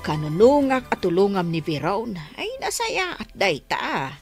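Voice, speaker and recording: An actress with a Filipino accent playing a friendly, expressive woman in a family radio drama. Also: medium pitch, a little slow, husky, faint music behind, heavily acted. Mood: motherly, approving.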